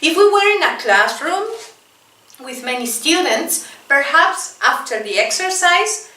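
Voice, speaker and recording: Slow, bright-voiced woman teacher, English. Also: high voice, lively intonation. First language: Spanish. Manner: slow, patient drilling with frequent "right?" tags. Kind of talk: classroom-style English lesson with repetition drills. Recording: roomy, distant from the mic.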